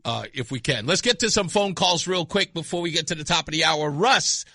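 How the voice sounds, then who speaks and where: Bright, forceful American radio host, a man. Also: a little high, animated, fairly quick. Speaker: radio talk show host. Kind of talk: unscripted call-in talk radio chat. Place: radio studio. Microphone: close studio microphone.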